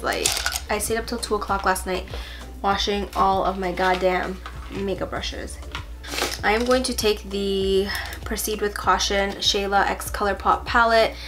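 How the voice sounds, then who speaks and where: A young woman with a stuffy cold talks casually through a makeup tutorial in her bedroom, chatty and full of fillers, softer when focused on applying makeup. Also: medium pitch. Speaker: beauty vlogger. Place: bedroom at home.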